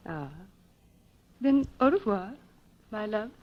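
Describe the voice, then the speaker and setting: A wistful, devoted queen in a 1940s radio drama, heard on a dull, muffled recording. She speaks formally and tenderly at a middling pitch, with theatrical longing. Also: animated, takes it slowly. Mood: wistful, loving. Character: lovelorn, wistful foreign queen, devoted wife.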